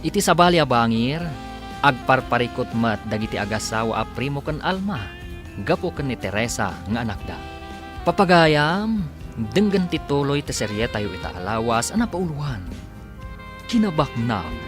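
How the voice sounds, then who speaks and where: Animated male radio drama announcer over music. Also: mid-range voice, measured pace. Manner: theatrical, emphatic. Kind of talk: dramatic scripted narration.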